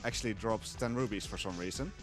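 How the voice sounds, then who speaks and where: A man's voice: lowish voice, quick pace, music in the background. A man commentating live on his own speedrun, explaining as he plays with many fillers. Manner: relaxed, hesitant with many fillers. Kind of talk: live commentary while playing.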